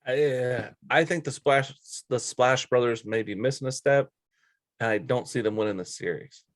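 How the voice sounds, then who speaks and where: A man's voice: mid-range voice, moderate pace, no echo, clean studio sound. A man co-hosting a sports talk show, chatting casually in a blunt, informal, opinionated way.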